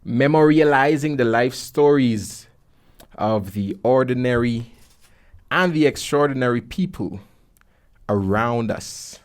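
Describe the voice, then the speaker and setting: A man's voice: medium pitch, animated, slow. A young man with a Caribbean accent hosting a podcast casually, with jokey slang, close on a studio mic. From Guyana. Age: young adult. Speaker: podcast host. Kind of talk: casual podcast presenting and interviewing. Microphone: boom-arm studio mic, close.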